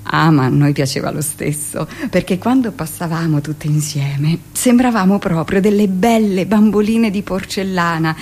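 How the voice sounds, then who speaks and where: A brisk, hard middle-aged midwife. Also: female voice, on the low side, darkish tone, husky. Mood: reminiscing. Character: seasoned midwife in her forties, brisk, hard, self-justifying. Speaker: actress in a radio monologue. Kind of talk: theatrical radio monologue, confessional storytelling.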